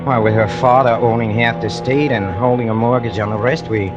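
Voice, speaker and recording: An actor playing a bitter, cold-blooded hired killer who confesses in a brooding, halting way, in vintage radio drama style. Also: male voice, mid-range voice, fairly even intonation, dull audio, close to an everyday voice. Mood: cocky confession. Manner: brooding, halting, repeats himself with menace. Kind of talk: 1940s-50s radio drama acting. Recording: old radio broadcast with music underneath.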